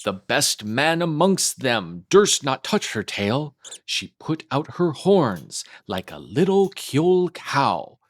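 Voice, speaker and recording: A man's voice: mid-range voice, sing-song, takes it slowly, no echo. A man voicing tiny merry mice that chant teasing rhymes in a singsong way for a storybook. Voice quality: little, twittering. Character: tiny merry singing mice, taunting a cat.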